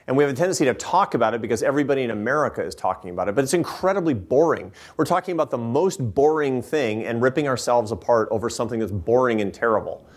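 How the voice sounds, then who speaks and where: A man's voice: mid-range voice, animated, quick pace, few pauses. A man giving earnest, emphatic answers to audience questions after a talk, often restarting his sentences. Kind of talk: lecture Q&A answers.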